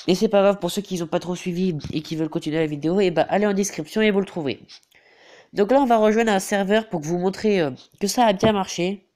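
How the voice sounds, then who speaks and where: A man's voice: high-pitched, quick pace, a little mellow. Stuffy-nosed teen boy hesitating through a tutorial. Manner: hesitant, filler-heavy, repeats "donc voilà". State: has a head cold. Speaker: gaming YouTuber. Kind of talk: game tutorial voice-over.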